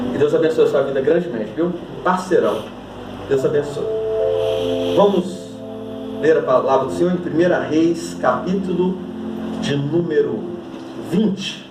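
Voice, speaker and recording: A man preaching to his church congregation as pastor, joking and thanking members before reading scripture with emphasis. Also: on the high side. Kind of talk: sermon. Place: church hall. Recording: echoey church hall from a distance. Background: congregation ambience.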